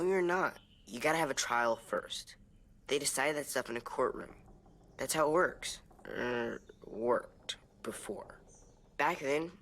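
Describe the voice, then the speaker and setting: A man voicing a gentle, earnest young boy in a video game drama, soft-spoken and reassuring. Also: mid-range voice.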